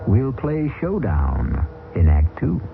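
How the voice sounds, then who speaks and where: A man hosting and narrating a radio drama, grave, ominous and measured. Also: on the low side, wildly swooping pitch, creaky. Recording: tinny old radio broadcast with music.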